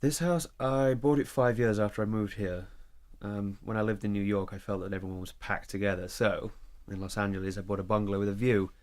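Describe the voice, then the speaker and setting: An actor playing a reserved, evasive, work-absorbed boyfriend in a naturalistic audio drama, speaking in a terse, matter-of-fact, guarded way. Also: male voice, on the low side, fairly even intonation, brisk, natural voice. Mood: calm, casual.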